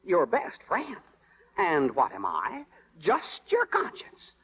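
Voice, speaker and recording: A man's voice: sits high, wildly swooping pitch, slow pace, a bit bright, heavily acted, poor audio. An actor voicing a small male cricket conscience, fussy, caring and scolding, in folksy 1930s radio style. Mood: indignant. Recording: vintage 1939 radio with music.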